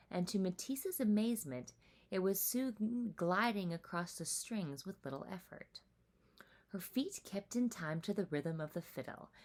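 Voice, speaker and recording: A woman reading a children's story aloud with animated, deliberate, expressive narration. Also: lowish voice.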